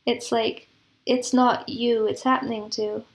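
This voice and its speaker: Higher-pitched, even-toned woman with creaky voice. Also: a little slow. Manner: reflective, explains with analogies and examples. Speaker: interview guest, composer and audio drama producer. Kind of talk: explanatory interview conversation.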